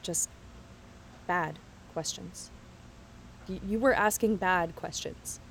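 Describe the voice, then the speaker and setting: Woman doing guarded teen girl, slow n hesitant, accusing, kinda low voice, audio drama. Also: vocal fry, slight background noise. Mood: fearful, accusing. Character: uneasy, accusing teenage girl at camp.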